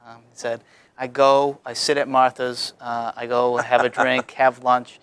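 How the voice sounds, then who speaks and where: Man, 30s, from Massachusetts. Smooth, resonant, even delivery, relaxed storyteller. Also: medium pitch, a little slow. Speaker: newly elected alderman. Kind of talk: casual interview chat.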